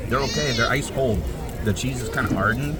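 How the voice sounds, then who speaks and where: A soft-spoken man chatting casually to camera as a vlogger, rambling and laughing softly while kneeling in a crowded outdoor park. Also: medium pitch, brisk. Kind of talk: casual vlog chat. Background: loud crowd noise and park music.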